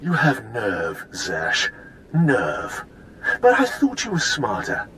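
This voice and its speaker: An actor playing a stern, accusing dark lord and superior in a video game, imperious and clipped. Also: male voice, mid-range voice, huge pitch swings, slow pace, slight background noise, full character voice. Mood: cold anger.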